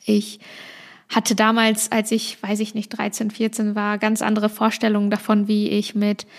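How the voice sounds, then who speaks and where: A woman's voice: medium pitch, monotone, moderate pace. A woman in her late twenties talking reflectively in a casual personal podcast monologue, rambling a little with small restarts. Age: 20s. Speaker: podcast host.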